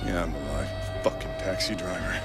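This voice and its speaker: A man's voice: low voice, a little fast. An actor playing a desperate captive villain in a video game, a frantic father who curses and pleads. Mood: bitter. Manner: shouting, pleading, cursing. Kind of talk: video game cutscene acting. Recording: loud music behind.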